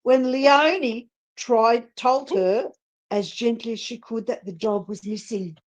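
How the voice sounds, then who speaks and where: Seeking a woman with a fairly high voice for relaxed co-host chat and unpolished live reading. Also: unhurried, dull audio. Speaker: co-host of a live online show. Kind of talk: casual chat and informal reading aloud.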